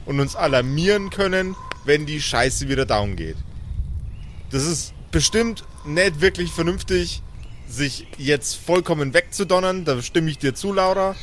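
A fairly high-voiced male adventurer, acted by a man, exasperated yet caring. He pleads and lectures insistently in an improvised roleplay audio play, with faint cricket-filled night ambience behind him. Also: lively intonation, unhurried, a bit bright, slight background noise. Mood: insistent, reasoning.

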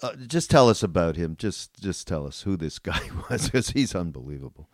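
A man's voice: deep voice, sing-song, moderate pace, no room sound, studio quality. A man hosting a casual podcast interview, effusive and repeating himself as he talks. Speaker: podcast host.

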